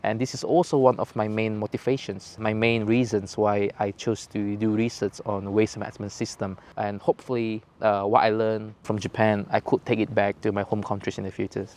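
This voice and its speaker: A man's voice: lowish voice, brisk, few pauses. Hesitant young man. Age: young adult. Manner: hesitant, many fillers, relaxed. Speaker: intern interviewee. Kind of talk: casual interview answers. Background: faint music.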